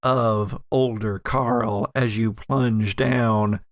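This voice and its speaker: Slow-paced, creaky-voiced male game master. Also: on the low side, steady tone, no echo, clean studio sound. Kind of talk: narrating a tabletop horror game, improvised.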